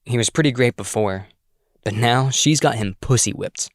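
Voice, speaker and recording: A man's voice: mid-range voice, moderate pace, no room sound, studio quality, close to an everyday voice. Man playing a sullen, troubled teenage boy ghost. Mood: bitter, resentful. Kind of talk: screenplay table read acting.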